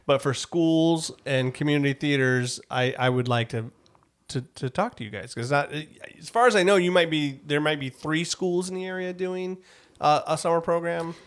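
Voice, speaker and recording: A man's voice: fairly high pitch, moderate pace, clear, resonant tone. A man hosting a casual podcast interview, chatty and informal, given to joking and interjecting. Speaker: podcast host.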